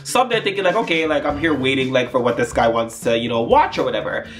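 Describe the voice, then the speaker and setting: A man's voice: mid-range voice, big pitch swings, fast talker, fairly bright tone, faint music behind. A young man telling a storytime vlog in a rambling, chatty way with lots of fillers, his nose stuffed up. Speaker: YouTuber.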